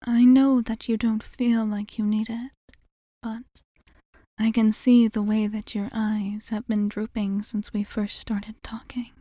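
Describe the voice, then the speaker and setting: A woman playing a courteous, fond, centuries-old vampire bartender in an ASMR roleplay, speaking formally and softly, often in a breathy whisper, with lingering pauses. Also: a little high, flat delivery, dry recording, clean studio sound. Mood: tender, soothing.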